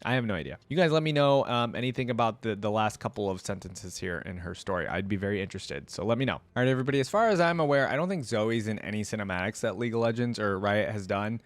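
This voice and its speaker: A man's voice: on the low side, fast, few pauses, no room sound. A man hosting a reaction video in casual commentary, enthusiastic and often exclaiming.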